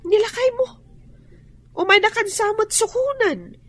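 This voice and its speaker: A woman's voice: very high-pitched, lively intonation, a little slow, fairly bright tone, faint music behind, heavily acted. An actress playing a gossiping female friend in a radio drama, chatty and insinuating, with an Ilocano accent. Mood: gossipy.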